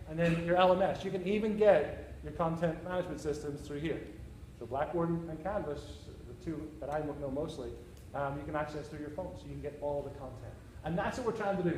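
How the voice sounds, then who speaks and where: A man with a Northern Irish accent gives a conference presentation with a fairly high voice. He jokes and checks in with the audience. The room is echoey, with faint ambient hiss behind him. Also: a little mellow. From Northern Ireland. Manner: joking, checks in with the audience often. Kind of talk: conference talk.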